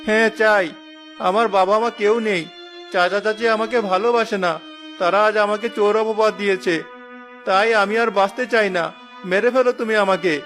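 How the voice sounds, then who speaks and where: A man voicing a gentle, wronged young orphan nephew who speaks earnestly, in a cartoon. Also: sits high, fairly even intonation, fairly quick, soft background music. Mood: despairing. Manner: earnest, hurt. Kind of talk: cartoon voice acting.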